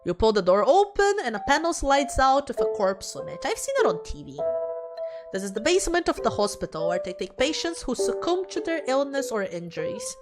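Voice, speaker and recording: A woman's voice: high voice, big pitch swings, a little fast, voice pushed up. A woman voicing an anxious, self-doubting young dead woman, reading visual novel narration emotively and introspectively. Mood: shaken, fearful. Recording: music behind the voice.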